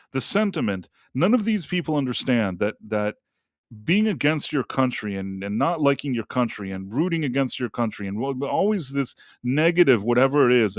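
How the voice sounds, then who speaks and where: A man's voice: medium pitch, no echo, studio quality. A man co-hosting a casual live stream, rambling and trailing off into restarts as he reads viewer messages. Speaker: co-host.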